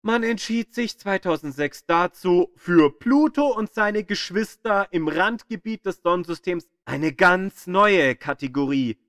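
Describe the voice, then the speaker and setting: A man reading scripted educational narration in a deliberate, explanatory manner, as the narrator of an audio guide. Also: high-pitched, animated, a bit bright, no echo, studio quality. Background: music behind the voice.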